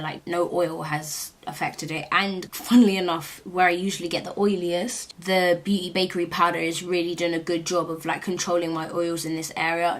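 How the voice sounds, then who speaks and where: A young woman with a British accent talks straight to camera about a product she has tried. Her voice sits low and a little deep, and she sounds thoroughly worn out after a long day. She rambles casually, peppering her sentences with "like". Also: a bit bright. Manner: chatty, rambling, many "like" fillers. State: exhausted late at night. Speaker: beauty vlogger.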